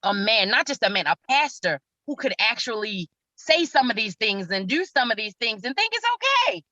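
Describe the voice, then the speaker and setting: A woman actor speaking casually in an interview, expressive and emphatic, acting out her own thoughts as she goes. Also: fairly high pitch, moderate pace, no echo, dull audio. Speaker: stage actress.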